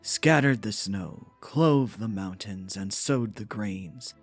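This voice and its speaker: A man playing a solemn storyteller, reading scripted fantasy narration for a fan dub in a measured, dramatic manner. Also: on the low side, soft background music.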